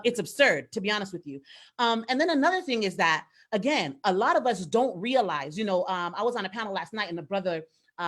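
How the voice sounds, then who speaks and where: Earnest woman panelist speaking emphatically. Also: medium pitch. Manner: earnest, emphatic, reflective with occasional laughs. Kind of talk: panel discussion, impassioned commentary.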